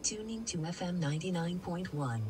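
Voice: medium pitch, moderate pace, a little mellow, slight background noise. A synthetic in-car voice assistant giving a short confirmation, played through car speakers. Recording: distant car speaker playback.

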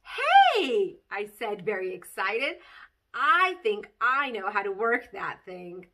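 Woman as an animated, excitable little storybook girl. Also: mid-range voice, takes it slowly, heavily acted. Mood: excited. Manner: childlike phrasing, dramatic, bubbly. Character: silly, excitable, mischievous little kindergarten girl telling her story. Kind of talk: expressive children's storybook read-aloud.

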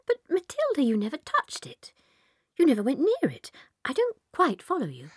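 An actress playing a gentle, kindly young schoolteacher with an English accent in a dramatized children's audiobook. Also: female voice, high-pitched, huge pitch swings, normal speed, no echo, clean studio sound. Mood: amazed, alarmed.

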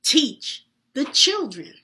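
A woman voicing a solemn, earnest Santa Claus in a read-aloud story, teaching slowly and emphatically. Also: sits high, big pitch swings, pushed and bright, voice pushed up, full character voice. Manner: slow, emphatic, preacherly.

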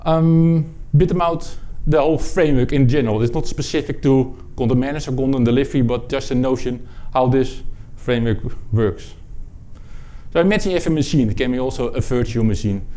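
A man from the Netherlands with a Dutch accent, presenting a technical product talk at a conference, halting, with many fillers and restarts. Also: medium pitch, warm tone. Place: conference room with audience.

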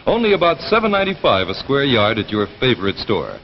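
A muffled, echoing 1950s television recording carries a young male spokesman. He reads scripted advertising copy at a middle pitch, with lively rises and falls. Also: quick pace. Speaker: commercial spokesman. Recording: muffled, noisy, reverberant old television soundtrack.

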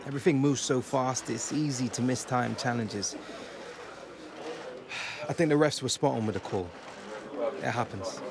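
A man's voice: mid-range voice, normal speed, gravelly, long pauses, noisy background, close to an everyday voice. An actor playing a modest, earnest young male footballer in a video game, answering in post-match interview style. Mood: measured, earnest.

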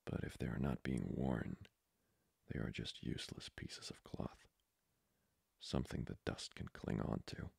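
A man playing a courtly, flirty vampire lord hungry for blood in an intimate ASMR roleplay, breathy and formally polite. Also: extremely low pitch, steady tone, darkish tone, no room sound, exaggerated voice. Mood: courteous, flirtatious. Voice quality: breathy, whispery, gravelly. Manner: formal, slow, seductively polite.

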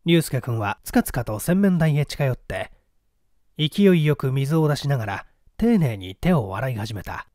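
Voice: medium pitch, very animated, normal speed, darkish tone, dry recording, clean studio sound. A narrator reading a mystery story aloud in a dramatic scripted style.

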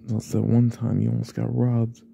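Gravelly, hushed possessive young man, acted by a man. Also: mid-range voice, completely flat delivery, normal speed, a little hiss or hum. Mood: protective, possessive. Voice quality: breathy, half-whispered, gravelly. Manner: hesitant, hushed, probing. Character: possessive yandere young man, quietly obsessive, protective classmate. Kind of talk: ASMR roleplay.

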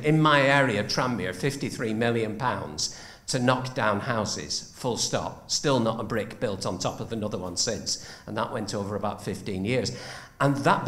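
A man's voice: medium pitch, a bit bright. Older northern man speaking from the audience. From north of England. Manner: rambling, restarts, deliberate. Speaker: audience member. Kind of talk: audience question. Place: lecture theatre audience seating. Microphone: handheld mic. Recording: echoey lecture hall.

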